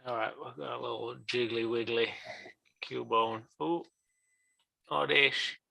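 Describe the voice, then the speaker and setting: Gravelly excited man opening card packs. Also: medium pitch, dry recording, poor audio. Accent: non-standard English accent. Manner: excitable, quick reactions, trailing off quietly. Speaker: live stream co-host opening card packs. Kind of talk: casual live stream chat.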